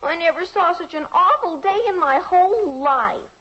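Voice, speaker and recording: A headstrong girl in an old radio family play. The voice is high and swoops expressively as she pleads and complains, through a dull vintage recording. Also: expressive, moderate pace, a bit bright. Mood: sulky. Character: whiny, headstrong young daughter of the family. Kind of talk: 1950s American radio drama acting.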